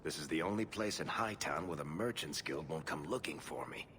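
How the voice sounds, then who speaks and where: A man playing a gruff, laid-back fellow in a fantasy video game, talking in a dry, conversational way. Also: a bass voice, lively intonation, fairly quick, music in the background. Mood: wry. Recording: clear ambient noise behind.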